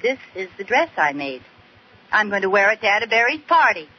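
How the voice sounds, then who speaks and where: An American actress playing a scheming, wheedling young housewife with theatrical, exaggerated pleading in an old-time radio comedy. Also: female voice, medium pitch, big pitch swings, a little fast, breathy edge. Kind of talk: 1940s-50s radio comedy acting. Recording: muffled, noisy old radio recording.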